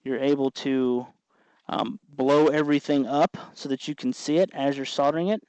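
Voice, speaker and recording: A man hosting a casual hands-on tutorial, explaining in a halting way as he works. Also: mid-range voice, steady tone, a little mellow, smooth, no room sound. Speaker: host of a DIY electronics tutorial.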